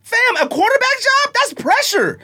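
High-pitched guy co-hosting a podcast, voice swings all over, keeps repeating himself and doing little quotes. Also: big pitch swings, moderate pace, bright, forceful tone. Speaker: podcast co-host. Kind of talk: heated casual debate.

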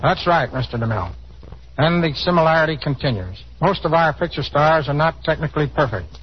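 A man's voice: on the high side, lively intonation, brisk, husky. A man giving formal, explanatory scripted answers as a guest expert in a 1930s radio interview. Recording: muffled 1930s radio broadcast, faint noise.

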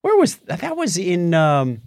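A man co-hosting a podcast in casual chat, sometimes halting as he searches for words. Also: high voice, wildly swooping pitch, a little mellow, no room sound, studio quality. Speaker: podcast co-host. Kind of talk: casual chat about movies and tech.

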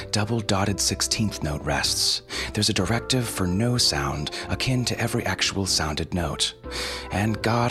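A man hosting and explaining in a scripted but informal style, with wry asides and deliberately stretched phrases. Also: low voice. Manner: wry, informal, mildly profane. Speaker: podcast host and audio fiction producer. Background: faint music.

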